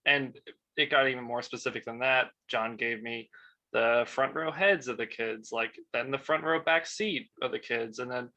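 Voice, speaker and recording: A young man with a bright, sharp-edged voice of average pitch. He talks casually as a panelist about his creative work. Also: normal speed, rough recording. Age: young adult. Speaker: video animator on a panel.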